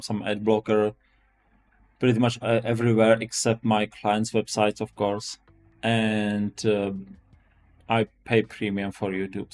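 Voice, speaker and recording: A man with a Czech accent chatting casually as a live-stream host, often restarting and repeating his phrases. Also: on the low side. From Czech Republic.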